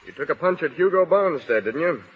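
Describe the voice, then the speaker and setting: A man's voice: a little high, lively intonation, brisk, slightly rough. An actor playing a matter-of-fact, firm policeman in a 1930s radio drama. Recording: muffled, lo-fi 1930s radio broadcast.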